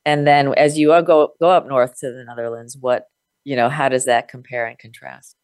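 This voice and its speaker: Host: woman with a deep voice, dry close-miked sound, reflective coaching tone. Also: fairly even intonation, moderate pace, darkish tone, clean tone, dry recording. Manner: reflective, uses filler "like" and asks check-in questions. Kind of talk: conversational interview, coaching advice.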